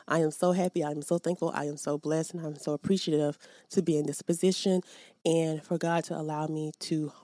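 A woman's voice: deep voice, little pitch movement, fairly quick, warm tone, no echo. Upbeat woman hosting a faith podcast. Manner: upbeat, addresses listeners directly, prays aloud. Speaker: podcast host. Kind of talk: faith podcast chat and prayer. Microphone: podcast microphone.

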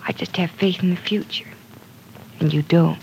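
A soft-spoken earnest young woman. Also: on the low side, steady tone, moderate pace, creaky, a little hiss or hum, poor audio. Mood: pleading. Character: earnest, gentle young woman torn in love. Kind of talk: 1940s radio acting.

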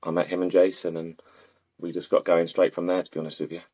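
Low-voiced man from North Wales, closely recorded. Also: level tone, fast, warm tone, slightly rough, dry recording. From Wrexham, North Wales. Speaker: guest, football strength and conditioning coach. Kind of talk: casual interview chat.

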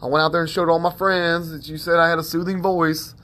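A man's voice: fairly high pitch, level tone, fairly quick, bright, forceful tone. A man hosting a self-help podcast, talking in a rambling, conversational way with plenty of fillers and a soothing tone. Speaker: podcast host.

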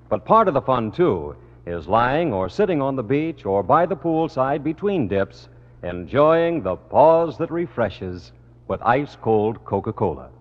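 A man reading a scripted commercial as a 1950s radio announcer. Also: mid-range voice. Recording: muffled old radio, long reverb.

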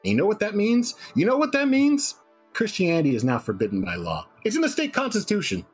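A man's voice: high voice, huge pitch swings, fairly quick, husky, soft background music, voice pushed up, exaggerated voice. A man voicing a hysterical radio announcer preaching doom in a theatrical, ranting manner. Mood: outraged, frantic. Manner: theatrical, ranting, swooping into shouts of outrage. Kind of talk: dramatic radio broadcast reading.

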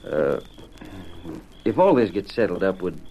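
A man's voice: sits low, very animated, unhurried, muffled recording. A man playing a courteous, decisive cowboy crew boss with folksy American western talk, in old radio drama style. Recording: noisy old radio recording.

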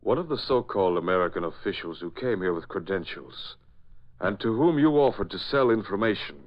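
A man performs a cold German-accented spy chief from an old radio thriller. The voice is low and the delivery clipped, formal and threatening. The recording is dull and aged. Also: expressive, fairly quick, close to an everyday voice. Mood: accusing. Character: cold, menacing male Nazi spy chief. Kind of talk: 1940s radio drama acting.

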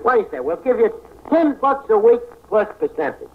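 A man's voice: high voice, big pitch swings, brisk. An actor playing a pompous, blustering male tavern manager who mangles fancy phrases in 1940s radio comedy style. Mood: pleading bluster. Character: blustering, self-important male tavern manager, fawning to customers. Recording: tinny, very noisy old radio broadcast.

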